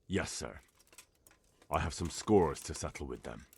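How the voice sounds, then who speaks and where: Earnest young messenger. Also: male voice, a bass voice, expressive, measured pace, gravelly, background noise, full character voice. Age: young adult. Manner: earnest, urgent, plain-spoken. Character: earnest young man, a lord's son and messenger. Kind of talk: video game cutscene acting.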